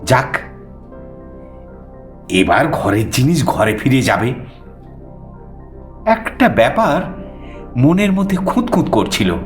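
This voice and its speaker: A man narrating a suspense story in the first person, theatrical, with dramatic pauses. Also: mid-range voice, sing-song. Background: music.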